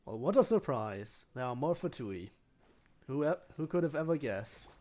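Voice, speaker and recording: A male game streamer with a mid-pitched voice. He comments casually as he plays, muttering to himself and tossing wry asides at the game. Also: expressive, normal speed, dark tone. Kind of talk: casual live gameplay commentary.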